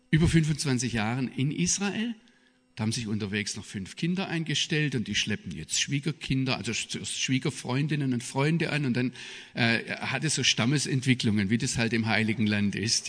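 A middle-aged man with a southwest German accent giving a public lecture in an emphatic, deliberate manner. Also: mid-range voice, fairly bright tone. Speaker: guest speaker, journalist and theologian. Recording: reverberant hall, long echo.

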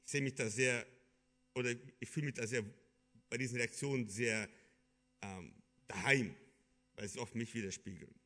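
A man from Kyrgyzstan preaching a sermon in an earnest, halting way, with many fillers and restarts. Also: medium pitch, steady tone, pushed and bright.

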